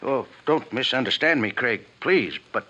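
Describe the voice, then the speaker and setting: A man's voice: mid-range voice, expressive, moderate pace, sharp tone. A man playing an anxious, cautious, fatalistic private operative in a 1950s radio drama, fretful and hesitant. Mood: worried. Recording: muffled 1950s radio recording.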